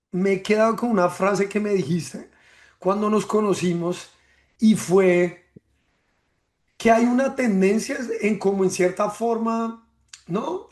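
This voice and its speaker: A man from hosts a podcast in a high, light voice. He talks in a measured, reflective way, often ending a thought with a tag question. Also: a little mellow. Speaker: podcast host. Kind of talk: reflective podcast monologue and interview.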